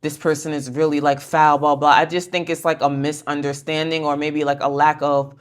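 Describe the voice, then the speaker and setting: Low-pitched woman, slangy tarot reader. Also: little pitch movement, moderate pace. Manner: slangy, conversational, blunt. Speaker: tarot reader and video host. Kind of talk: casual pick-a-card tarot reading to camera.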